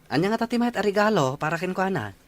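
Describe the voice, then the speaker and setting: A man's voice: high voice, animated, fast talker. A man playing a devoted, hesitant young boyfriend in an Ilocano audio drama. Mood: friendly, eager. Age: young adult. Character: devoted young boyfriend planning a surprise anniversary proposal. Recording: noticeable background noise.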